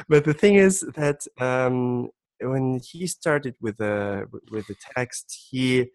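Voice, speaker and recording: A man's voice: mid-range voice, expressive, drawn-out words, no echo. Hesitant man explaining earnestly, dry sound. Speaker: guest harpist. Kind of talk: casual podcast conversation.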